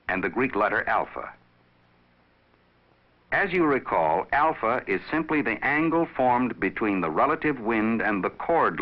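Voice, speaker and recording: The voice is a low-pitched man, narrating an instructional film. He explains in a measured, didactic way, with plenty of rise and fall in his intonation. The sound is dull and lo-fi, like a vintage training film soundtrack.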